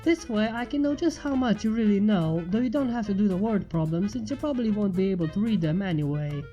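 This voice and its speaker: Woman as a gentle, mellow pony schoolteacher. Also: medium pitch, animated, quick pace, highs cut off, soft background music, close to an everyday voice. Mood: kind, instructive. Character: kind, encouraging female pony schoolteacher.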